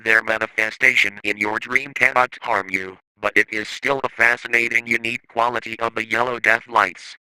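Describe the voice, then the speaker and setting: Male narrator for analog horror informational piece: formal, instructional, sharply bright tone, dry close sound. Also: lowish voice, little pitch movement, normal speed, few pauses, smooth, no room sound, rough recording.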